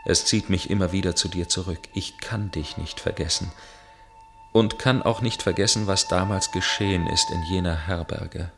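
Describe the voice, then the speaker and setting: An actor playing a tormented storyteller recounting a dark tale in a German radio play, with grave, measured, literary delivery. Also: male voice, deep voice, vocal fry, over music. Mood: anguished.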